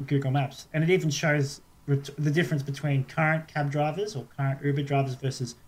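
Australian male co-host, slightly high voice, chatty explainer, conversational and repetitive phrasing. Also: flat delivery, fairly quick. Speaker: podcast co-host. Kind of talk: casual chat.

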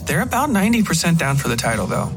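A man voicing a bubbly, excitable female literary agent who rambles and gushes chattily, in an audiobook-style story. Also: fairly high pitch, fast talker, over music, pitched above the performer's own voice. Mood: excited, chatty.

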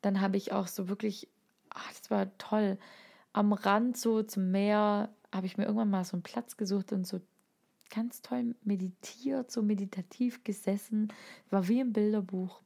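A woman's voice: mid-range voice, steady tone, normal speed, creaky, warm tone. A woman telling anecdotes in a casual, enthusiastic, playful way, using slangy words as a podcast co-host.

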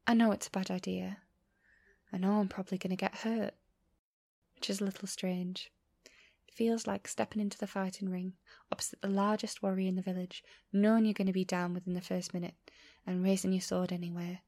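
An apologetic young runaway, played by an actress, voices a farewell letter in a fantasy audio drama. The voice is medium in pitch, soft and breathy, sometimes near a whisper. It sounds dry and intimate, tender yet earnest. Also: female voice, little pitch movement, a little fast, no echo, lightly acted. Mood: wistful, resolute.

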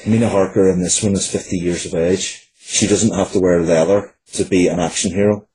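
Deep-voiced man in his forties, mellow. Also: fairly even intonation, fairly quick, slight background noise, low-quality recording. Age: 40s. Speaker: writer, interview guest. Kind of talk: conversational interview answers.

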